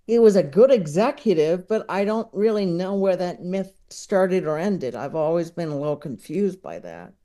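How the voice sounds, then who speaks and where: A man's voice: sits high, animated, moderate pace, hardly any pauses, warm tone. A man speaking as a podcast guest, chatting casually and analytically about what he loves, often hesitating as he thinks aloud. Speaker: podcast guest, comedy enthusiast. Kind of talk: casual opinionated film chat.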